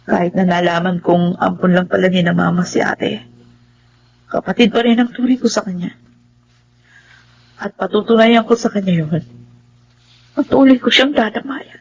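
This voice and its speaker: A woman's voice: medium pitch, normal speed, creaky, long pauses, a little mellow, dull audio, slight background noise, close to an everyday voice. A woman playing a kind, forgiving married younger sister in a Filipino radio drama, with earnest, emotional delivery. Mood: tender, sincere.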